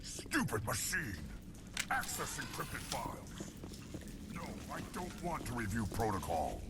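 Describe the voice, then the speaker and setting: Furious brutish alien, noisy background. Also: male voice, mid-range voice, big pitch swings, a little slow. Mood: irritated. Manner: angry, threatening, barking. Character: furious brutish alien mercenary berating a computer. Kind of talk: video game voice acting.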